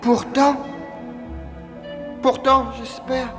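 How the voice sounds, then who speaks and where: A woman's voice: high voice, little pitch movement, extremely slow. A woman voicing a small, lovesick young male cartoon hero with an earnest manner, in a reverberant recording. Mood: lovesick, dreamy. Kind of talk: cartoon dub acting. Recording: echoey hall-like reverb under music.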